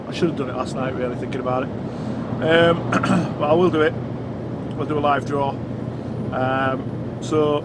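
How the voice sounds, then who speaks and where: Male Yorkshire trucker vlogger, fairly high voice, a bit bunged up, rambling informally over heavy engine and road noise. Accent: Yorkshire English. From Yorkshire, England. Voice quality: slightly congested, sniffly. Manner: informal, blunt, thinking aloud. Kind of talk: casual rambling chat to viewers. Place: inside a lorry cab while driving. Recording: loud engine and road rumble.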